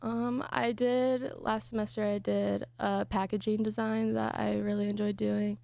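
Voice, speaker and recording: A young woman gives shy, short answers about her design work and trails off with fillers. Her voice is heavily gravelly with vocal fry. The sound is close and dry. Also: medium pitch, level tone, measured pace, a little mellow, no echo. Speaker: graphic design student. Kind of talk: interview answers.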